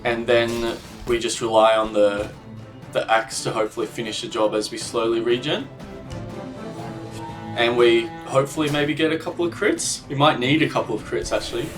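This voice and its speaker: A man talks through his own gameplay with a voice made a little croaky by a cold, working out his next moves aloud and poking fun at his own bad luck. He sits a little back from the mic, with faint music playing behind him. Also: mid-range voice, steady tone, normal speed, distant mic. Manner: self-deprecating, thinking aloud about strategy. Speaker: gaming channel host. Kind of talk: gameplay commentary.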